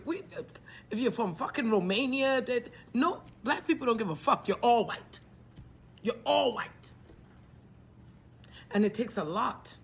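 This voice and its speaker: A woman's voice: mid-range voice, a little hiss or hum. A woman in her forties, from Trinidad, gives a passionate spoken message to her followers. She swears freely, preaches fervently and sometimes drops to a whispered plea. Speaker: spiritual message speaker. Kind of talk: impassioned spoken monthly message.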